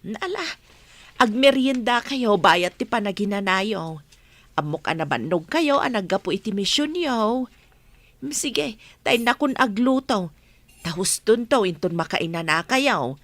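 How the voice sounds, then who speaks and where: A woman's voice: mid-range voice, lively intonation, measured pace, fairly bright tone, dry recording. A woman acting as a deferential, caring housekeeper in an Ilocano radio drama, with an Ilocano accent. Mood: dutiful, caring.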